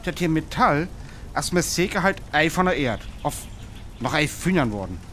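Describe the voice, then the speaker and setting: A man playing a thoughtful professor who explains things in a measured way in a radio play. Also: a little high, lively intonation, a bit bright, slight background noise. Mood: pondering, serious. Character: thoughtful male scientist, a professor examining a strange object.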